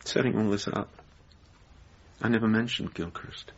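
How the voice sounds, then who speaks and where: A wounded, doubting man in a radio play. His low voice is very husky and breathy, halting and brittle, on a dull recording. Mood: bitter, accusing. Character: wounded, doubting male lover of a manipulator. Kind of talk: naturalistic radio drama acting.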